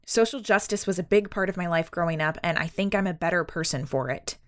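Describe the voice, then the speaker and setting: A young woman playing an earnest, principled, polite eldest sister in an audio drama, hesitant and gently defensive. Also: fairly low pitch, fast, close to an everyday voice. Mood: earnest, firm. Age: young adult. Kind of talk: audio drama acting, conversational.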